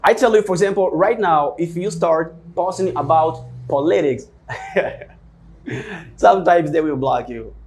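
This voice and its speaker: Rambling Portuguese-native man, higher voice. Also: measured pace, darkish tone. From Africa. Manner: rambling, many fillers and restarts. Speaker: guest English teacher. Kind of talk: casual interview answers.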